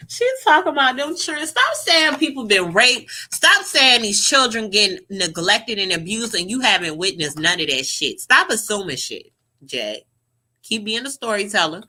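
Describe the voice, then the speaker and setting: A young woman vlogger giving sassy, profane, animated reaction commentary to camera. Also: medium pitch.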